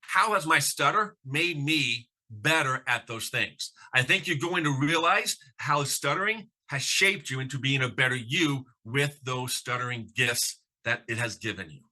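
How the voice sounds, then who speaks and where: A man's voice: medium pitch, animated, measured pace, few pauses, sharp tone. A man who stutters, giving a motivational conference talk with emphatic rhetorical questions. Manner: stutters with sound repetitions. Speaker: conference speaker.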